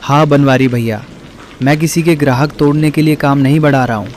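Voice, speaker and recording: A man playing an earnest tea seller in an animated story, reasoning on behalf of a friend. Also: fairly high pitch, a little fast, a little hiss or hum, close to an everyday voice.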